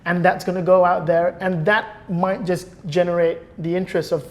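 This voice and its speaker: A man's voice: sits high, level tone, normal speed. A man speaking as a podcast guest, explaining his opinions in a thoughtful, conversational way and often restarting phrases. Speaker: podcast guest, former radio producer. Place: indoor podcast recording.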